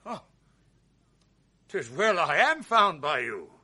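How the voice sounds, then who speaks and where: An actor playing a proud noble general in a radio drama, speaking verse in a measured, commanding way. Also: male voice, a little high, very animated, bright, forceful tone, husky, full character voice. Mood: composed. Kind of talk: 1980s radio drama acting.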